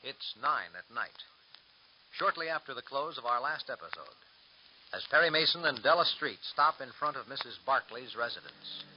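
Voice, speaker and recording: A male announcer narrating recap and scene-setting for a radio serial, measured and formal. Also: mid-range voice, lively intonation. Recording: dull old radio recording with hiss.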